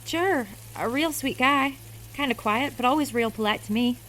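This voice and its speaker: An actress playing a brisk, folksy diner cook who scolds and chats in a radio drama. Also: female voice, sits high, a bit bright, a little hiss or hum. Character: bossy, chatty female diner cook.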